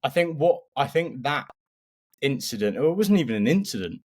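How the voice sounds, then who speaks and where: A man's voice: medium pitch, very animated, fairly quick, darkish tone. A man co-hosting a motorsport podcast, analysing in a casual, opinionated and emphatic way.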